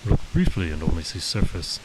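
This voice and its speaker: A man voicing a large, gentle, wise foreigner in an audiobook, speaking slowly and solemnly in clipped, broken English. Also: deep voice, very animated, pitched below the performer's own voice. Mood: solemn, wistful. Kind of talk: audiobook character dialogue. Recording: hissy background noise.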